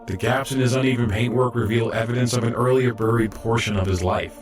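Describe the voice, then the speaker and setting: An actor playing an earnest, modest young robot apprentice medic in an audio drama, reflective and a little hesitant. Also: male voice, medium pitch, fairly even intonation, a little fast, faint music behind, close to an everyday voice. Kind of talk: audio drama acting and narration.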